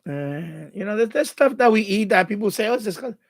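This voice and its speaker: A man's voice: sits high, animated, fast, vocal fry, no room sound, studio quality. A man from the Bronx, chatting casually as a live stream host, thinking aloud and repeating himself. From Bronx, New York City, USA.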